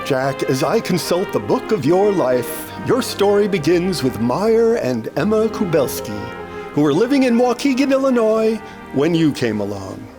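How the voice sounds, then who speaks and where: High-voiced, animated 1950s show host. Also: male voice, normal speed, music in the background. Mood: grand, celebratory. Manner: grand, showy announcing. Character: smooth male TV tribute-show host reading a life story. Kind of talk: 1950s broadcast presenting, radio comedy acting.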